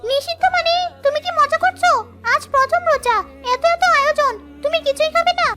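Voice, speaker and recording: A woman's voice: very high-pitched, steady tone, brisk, over music. A woman voicing a pampered, fretful young daughter in a cartoon, exclaiming and questioning. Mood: dismayed. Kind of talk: cartoon dialogue.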